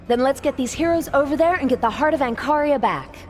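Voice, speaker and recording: A woman's voice: high-pitched, lively intonation, fairly quick, music in the background. A young woman voicing an untested, eager telepath guide in a video game, informal and self-deprecating. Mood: urgent, eager. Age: young adult. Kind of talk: game cutscene acting.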